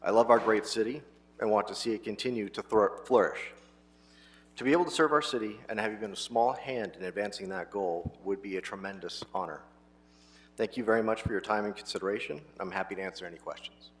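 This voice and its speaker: A man's voice: lowish voice, quick pace. A man from Los Angeles, a nominee, giving a prepared statement to a city committee and listing items one after another.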